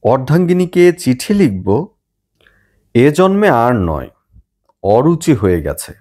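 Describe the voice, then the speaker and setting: A man's voice: mid-range voice, sing-song, slow pace, dark tone, pitched above the performer's own voice. A man voicing a grumpy, sceptical young man, a heat-worn older brother, in an audiobook story. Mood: indignant. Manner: suspicious, sardonic.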